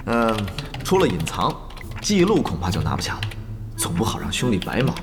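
An actor in a Mandarin audio drama playing an eager young guild gamer who flatters, haggles and mutters in exasperation. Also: male voice, on the low side, fairly quick, long reverb tail. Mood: placating. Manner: ingratiating, animated, with muttered exasperated asides.

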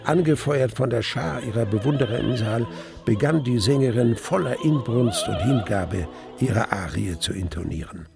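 A male actor playing an emotional, horrified witness at the opera, narrating a radio drama in a gravelly voice with deliberate, theatrical emphasis. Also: medium pitch, music in the background, natural voice. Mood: appalled, incredulous.

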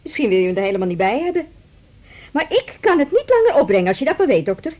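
An aggrieved, overburdened daughter in a radio play. She is high-pitched and fast, complaining insistently, with her voice leaping up and down. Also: very animated, darkish tone. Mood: aggrieved, exasperated. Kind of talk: radio drama acting.

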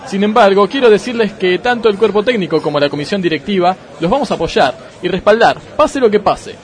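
A man playing a dim, repetitive football club president in a comedic radio sketch, speaking deadpan. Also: sits high, a little fast, a faint crowd. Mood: pompous. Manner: deadpan, repeats himself.

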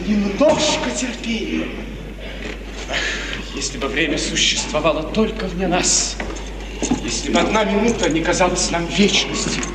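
Young count: earnest, melancholy, declamatory. Slightly high register, vintage radio theatre sound with clear hiss. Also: male voice, lively intonation, a little slow. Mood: brooding. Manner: solemn, lofty, ardent declamation. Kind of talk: 1950s radio theatre acting, declamatory tragedy. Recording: noisy 1950s radio recording, echoey hall, distant.

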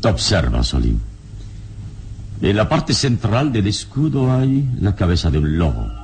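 An actor playing a calm, erudite adventurer hero in an old-time radio drama, speaking in a measured, deliberate, didactic way. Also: male voice, lowish voice, creaky, full character voice. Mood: calm, instructive.

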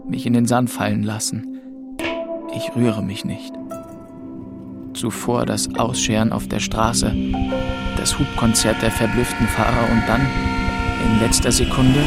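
An actor playing a weary, haunted young man who narrates his story in a measured, brooding way. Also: mid-range voice, gravelly. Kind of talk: scripted first-person audio drama narration.

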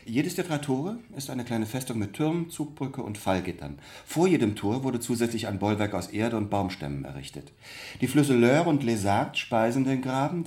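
A man's voice: lowish voice, a little mellow, close to an everyday voice. A man playing a military strategist giving factual expert commentary in a radio play. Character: male military strategist briefing as studio expert.

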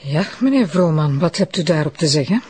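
An actress playing an assertive, formal deputy prosecutor in a radio drama. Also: female voice, low voice, animated, a little fast, darkish tone, a little hiss or hum. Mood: firm. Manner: formal, firm, questioning.

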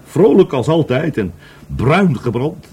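A man's voice: a little high, big pitch swings, measured pace, mellow, husky, full character voice. An actor in a Dutch radio play playing a drunken, bitter divorced man who rambles, stammers and repeats himself. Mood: agitated, tipsy. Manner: stammering, repetitive, tipsy.

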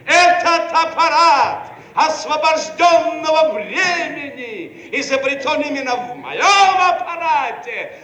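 An actor playing a pompous Soviet bureaucrat chief who orates in a drawn-out, theatrical manner in a satirical radio play. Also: male voice, extremely high pitch, sharp tone, full character voice. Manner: pompous, oratorical, drawn-out delivery. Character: pompous male Soviet bureaucrat chief making a muddled speech. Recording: roomy, faintly noisy archive radio recording.

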